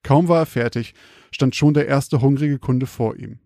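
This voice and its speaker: A man with a slightly creaky voice, hosting and telling stories on a podcast. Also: medium pitch, moderate pace, warm tone, dry recording, studio quality. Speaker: podcast host and storyteller. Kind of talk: casual banter and scripted horror storytelling.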